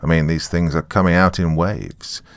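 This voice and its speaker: A man's voice: low-pitched, steady tone, normal speed, no echo. A man narrating a first-person horror story in a conversational, wry way.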